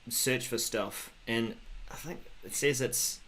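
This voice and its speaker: Guy in his 40s going thru tech news on a video call, mid pitch, casual n a bit halting. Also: a bit bright, poor audio. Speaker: co-host of a tech news show. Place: home office, video call.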